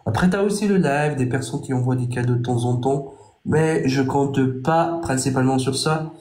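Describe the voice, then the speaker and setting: Blunt man, dark voice, echoey room. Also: mid-range voice, moderate pace. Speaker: TikTok live host. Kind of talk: casual live-stream chat.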